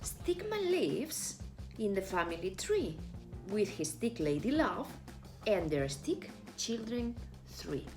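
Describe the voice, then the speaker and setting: A woman narrating a children's story expressively, with deliberate, dramatic phrasing. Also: mid-range voice, sing-song, music in the background. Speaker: storybook reader.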